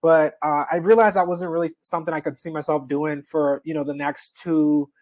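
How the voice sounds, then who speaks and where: A man's voice: fairly high pitch, fairly even intonation, moderate pace, a little mellow, no echo. A young adult man from the Bahamas, a guest investor telling his story in an easygoing way with frequent fillers. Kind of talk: casual interview talk.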